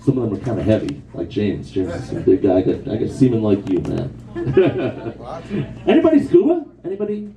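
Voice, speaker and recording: A man in his fifties works a small bar crowd with a loose, rambling stand-up set, padding his lines with "man" and "bro." His pitch swings widely. The mic sits some way off in a roomy space, with laughter coming back at him. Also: wildly swooping pitch, fairly quick, warm tone, far from the mic. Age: 50s. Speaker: stand-up comedian. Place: small kava bar comedy night. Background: audience laughter and heckles.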